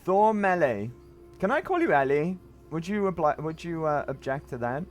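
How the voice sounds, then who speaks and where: Croaky, lively-voiced male game streamer. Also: on the high side, moderate pace, soft background music. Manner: chatty, jumpy exclamations, greets viewers. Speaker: livestreamer. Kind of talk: casual gameplay livestream chat.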